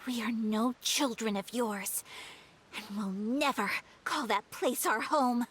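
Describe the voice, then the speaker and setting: An actress playing a proud, fiery young musketeer heroine in a video game, with emphatic, righteous, dramatic delivery. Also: female voice, on the high side, slow pace, fairly bright tone, breathy edge, full character voice. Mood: cold defiance. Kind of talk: animated video game acting.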